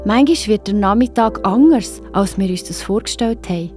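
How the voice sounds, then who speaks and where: A woman's voice: medium pitch, animated, moderate pace, a little mellow. A woman with a Swiss German accent narrating a Bible story for children. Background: faint music.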